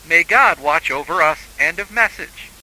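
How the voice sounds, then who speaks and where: A man's voice: a little high, animated, moderate pace, sharp tone, low-quality recording. A man reading scripted emergency alerts as a broadcast announcer, in a formal, solemn manner.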